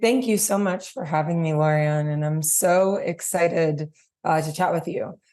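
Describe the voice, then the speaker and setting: A woman's voice: sits low, a little slow, darkish tone. A woman giving conversational answers as an interview guest. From New York, United States. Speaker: guest, podcast platform chief executive.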